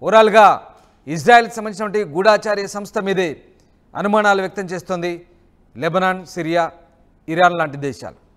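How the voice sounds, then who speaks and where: A man's voice: high voice, brisk, long pauses. A man. He comments over footage with urgency and drama, leaning on repeated rhetorical questions. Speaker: news anchor.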